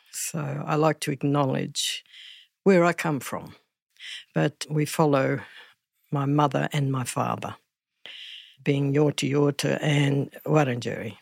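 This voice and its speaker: Slow gravelly older woman. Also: low-pitched, a little mellow, no room sound, clean studio sound. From Australia. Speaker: guest elder. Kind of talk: slow reflective storytelling.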